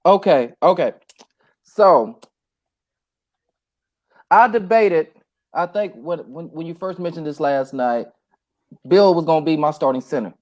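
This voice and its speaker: A man as a podcast guest in a casual sports chat, playful and thinking out loud as he weighs his choices. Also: on the high side, expressive, unhurried, long pauses.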